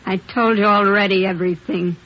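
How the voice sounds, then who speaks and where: A woman's voice: medium pitch, steady tone, fairly quick, close to an everyday voice. An actress playing a hard-edged woman murder suspect in an old radio crime drama, curt, slangy and defensive. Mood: sullen, defensive. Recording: muffled vintage radio recording.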